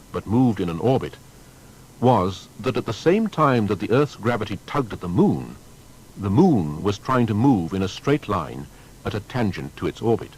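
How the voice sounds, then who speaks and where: Low-pitched, expressive male documentary narrator. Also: husky, poor audio. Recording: faint noise and low rumble.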